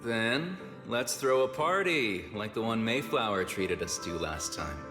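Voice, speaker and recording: A man's voice: lowish voice, lively intonation, measured pace. An actor playing a drowsy, wry male scientist in a video game, languid and understated. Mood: playful. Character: drowsy, unhurried male scientist fond of naps and drinking. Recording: echoing hall, loud music behind.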